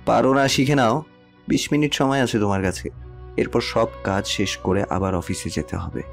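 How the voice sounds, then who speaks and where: A man's voice: fairly low pitch, moderate pace, vocal fry. A man playing a cold, domineering rich man who bullies his mistress, speaking in curt commands in a romantic audio story. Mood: stern, commanding. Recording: music under the voice.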